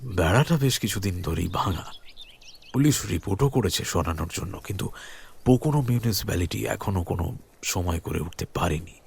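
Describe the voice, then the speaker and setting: A man playing a calm, forthcoming police captain in an audio story, explaining things in a measured way. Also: deep voice, gravelly, husky, heavily acted. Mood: calm, explanatory.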